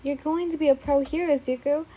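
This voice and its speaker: A woman's voice: high-pitched, steady tone, a little fast, mellow, voice pushed up, natural voice. A woman voicing a young woman who speaks in a firm, insistent way. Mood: reasoning.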